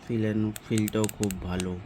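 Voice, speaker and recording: A man's voice: lowish voice, level tone, moderate pace. A man, chatting casually and thinking aloud in short, informal remarks like a vlogger reviewing a product. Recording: clearly noisy, ambient and vehicle sound.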